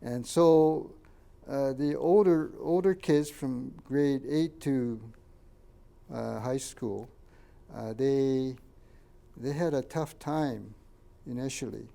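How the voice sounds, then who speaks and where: An older man, a Japanese first-language speaker from Canada, recalls his childhood in a halting oral history interview and points out photos. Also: medium pitch, vocal fry, warm tone. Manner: halting, many fillers and restarts, pointing out photos.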